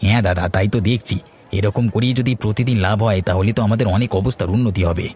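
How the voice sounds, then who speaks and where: Male actor as busy fishmonger brother, village cartoon; rapid delivery, low register, eager temper. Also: darkish tone, lightly acted. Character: eager village brother in the family fish trade. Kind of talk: Bengali cartoon dialogue.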